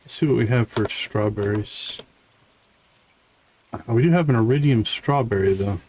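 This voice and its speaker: A man's voice: medium pitch, normal speed, darkish tone, a little hiss or hum. A man giving casual live game commentary, thinking aloud in short fragments. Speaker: game streamer.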